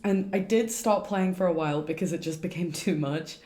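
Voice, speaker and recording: A woman's voice: on the low side, fairly quick, dark tone. A woman livestreaming a game, chatting casually as she plays. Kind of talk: casual chat while playing. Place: indoor room, livestream setup. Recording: roomy streamer mic with game music.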